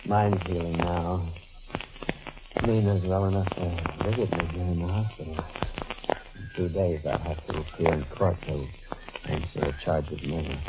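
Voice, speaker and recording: Desperate, overwrought fugitive husband. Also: male voice, sits low, fairly even intonation, normal speed, low-quality recording. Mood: somber confession. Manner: melodramatic, overwrought. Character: unstable fugitive husband accused of murder, desperate and anguished. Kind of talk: 1940s radio acting. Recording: old radio drama recording.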